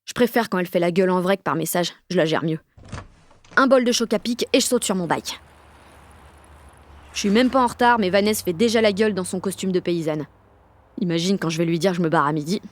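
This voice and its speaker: A woman's voice: on the low side, fairly quick, pauses often, close to an everyday voice. An actress with a French accent playing a cocky sixteen-year-old girl who narrates her day in slangy, sweary audio fiction. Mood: cocky, brash. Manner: slangy, sweary, punchy inner monologue. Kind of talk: first-person audio fiction monologue.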